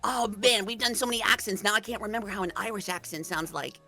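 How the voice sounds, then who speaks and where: A woman co-hosting a casual stream, reacting in quick, flustered bursts and laughing often. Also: mid-range voice, pushed and bright, soft background music. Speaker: stream co-host. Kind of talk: casual livestream banter.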